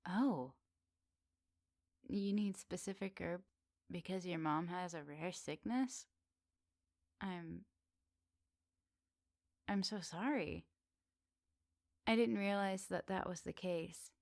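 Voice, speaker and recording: A woman voicing a flirty, kindly, lonely witch in an intimate, softly teasing ASMR roleplay, close to the microphone. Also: on the low side, slow, pauses often, dry recording. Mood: sympathetic. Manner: soft, teasing, cozy delivery to one listener. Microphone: close dry microphone.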